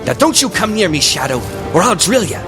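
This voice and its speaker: A cornered, gun-toting gangster from a vintage radio crime show. His delivery swings about with agitated, threatening bluster. Loud music plays behind him. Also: sits high, very animated, brisk. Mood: defiant, scared. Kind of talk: old-time radio drama acting.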